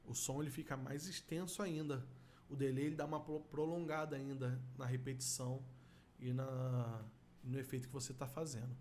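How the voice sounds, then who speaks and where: A middle-aged Brazilian man explains things step by step in an informal software tutorial. His voice sits at an average pitch, recorded in a small room with faint background noise. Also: level tone, normal speed. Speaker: audio effects instructor.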